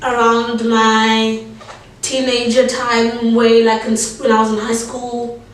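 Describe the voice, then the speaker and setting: A young South African woman talks about her life in an easy, rambling way, full of fillers. Her voice sits a little high but barely moves. She is heard from a distance, in a very echoey room. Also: monotone, takes it slowly. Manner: loose, filler-heavy storytelling with many likes and ums. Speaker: rapper and guest. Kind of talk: casual conversation. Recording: roomy space, distant mic.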